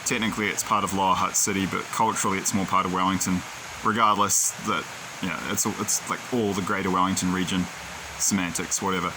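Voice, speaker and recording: A man's voice: low-pitched, little pitch movement, fairly quick, noisy background. A man talking casually to his own camera in a rambling, digressive, opinionated way. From New Zealand. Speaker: video creator. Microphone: camera he films himself with.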